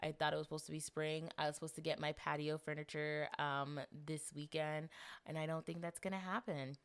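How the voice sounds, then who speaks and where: A young woman in her twenties hosting a casual solo podcast, chatting and rambling with animated asides, a little congested. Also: low voice, a little fast, clean tone. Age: 20s. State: slight congestion. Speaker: podcast host.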